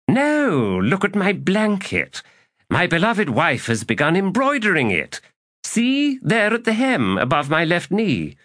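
A man acting out a theatrical, whimsical king, high and lilting. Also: huge pitch swings, a little slow, fairly bright tone, dry recording, studio quality, heavily acted. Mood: playful, theatrical. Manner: florid, mock-pompous phrasing. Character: whimsical, verbose young king, playfully theatrical and self-satisfied. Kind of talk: audiobook character dialogue.

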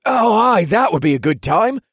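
A man's voice: high-pitched, very animated, moderate pace, dry recording, studio quality, pitched above the performer's own voice. A man voicing eager, loyal young soldiers in a fantasy audiobook, with a hearty, earnest and emphatic delivery. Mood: cheerful, eager.

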